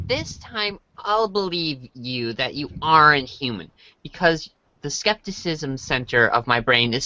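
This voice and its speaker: Man as a sullen, low-voiced skeptical boy. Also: sharp tone, dry recording, rough recording. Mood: annoyed, dismissive. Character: John, skeptical, annoyed, dismissive human boy. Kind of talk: amateur reader's theater.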